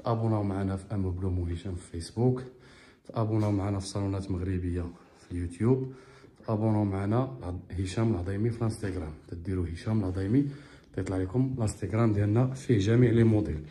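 A man with a Moroccan Arabic accent, a shop owner filming a walkthrough of a sofa set he made, talking quickly like a salesman and often asking viewers if they see. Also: on the low side, dark tone, a little hiss or hum. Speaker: upholstery shop owner showing his work. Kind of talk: walkthrough product showcase. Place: large indoor living room with tiled floor. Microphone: phone camera held while walking.

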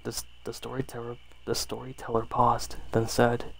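Creaky-voiced man reading a horror story. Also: medium pitch, monotone, normal speed, poor audio.

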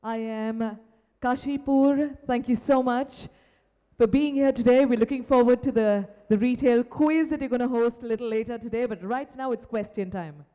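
A woman emcees a conference, announcing in a polished, upbeat way. Her voice is mellow and slightly high, carried on a long hall reverb. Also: moderate pace. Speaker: event emcee. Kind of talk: stage hosting. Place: large conference hall stage. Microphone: handheld mic.

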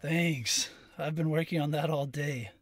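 A woman's voice: low voice, steady tone, unhurried, a little hiss or hum. A woman playing a goofy, laid-back young guy in an audio drama, slangy and playful. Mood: easygoing.

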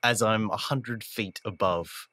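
A man narrates his moves casually in a tabletop roleplaying game. His voice is low and recorded dry, without room echo. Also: slow pace, pushed and bright.

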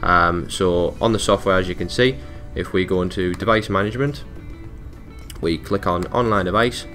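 A man presenting a technical support tutorial, talking through software steps in a casual, informal, matter-of-fact way. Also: deep voice, moderate pace, faint music behind. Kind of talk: casual step-by-step software walkthrough.

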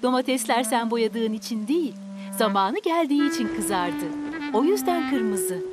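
A woman voicing a gentle, patient, loving mother in a children's cartoon, with a reassuring manner. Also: fairly high pitch, expressive, normal speed, music in the background, close to an everyday voice. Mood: tender, reassuring.

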